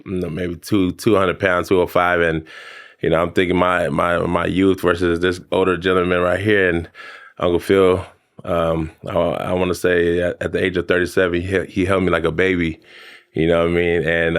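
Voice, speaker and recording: A man in his thirties from American Samoa, a podcast guest telling his story in a halting, casual way with many fillers and restarts. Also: low-pitched, clean tone. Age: 30s. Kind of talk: casual interview chat.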